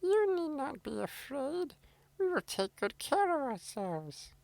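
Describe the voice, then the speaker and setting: A man's voice: very high voice, sing-song, slow, vocal fry, darkish tone, dry recording, pitched above the performer's own voice, heavily acted. A man voicing innocent, wary young goat kids in a fairy tale, with an emphatic childlike delivery. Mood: reassuring.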